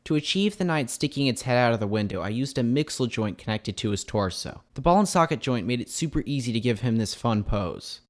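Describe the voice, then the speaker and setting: A man with a medium-pitched voice narrates a scripted build showcase. He presents as the host of a hobby channel and sounds enthusiastic about the craft. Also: animated, a little fast, hardly any pauses.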